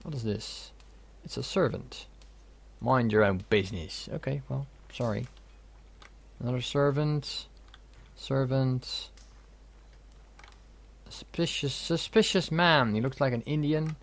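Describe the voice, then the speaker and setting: A man's voice: medium pitch, slow pace, creaky, long pauses. A young man giving casual let's play commentary, reading game dialogue aloud with jokey asides. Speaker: gaming video host.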